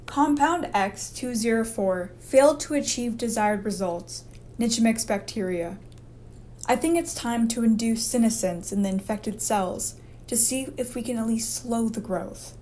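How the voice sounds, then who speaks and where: Actress as an anxious, higher-pitched woman scientist. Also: unhurried, darkish tone, slight background noise, close to an everyday voice. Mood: focused, clinical. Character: anxious, careful woman scientist in an infested lab. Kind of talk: amateur horror radio drama acting.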